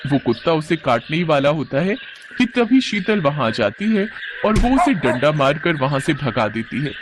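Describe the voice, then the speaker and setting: Animated, higher-pitched male storyteller. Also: moderate pace, noisy background. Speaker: narrator. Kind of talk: scripted children's story narration.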